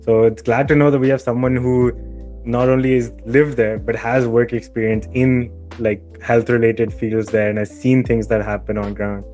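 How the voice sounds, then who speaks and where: A man's voice: mid-range voice, level tone, normal speed, darkish tone, soft background music. A man hosting a podcast, introducing the subject and putting questions in a conversational way. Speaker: podcast host.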